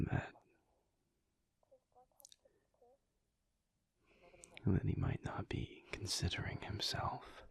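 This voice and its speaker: A deep-voiced, gravelly alpha werewolf acted out by a man murmurs to his partner in an audio roleplay. He is a weary, pensive pack leader, thinking aloud in a hushed, breathy tone with long, halting gaps. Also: exaggerated voice. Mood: uneasy, uncertain. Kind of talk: intimate audio roleplay monologue.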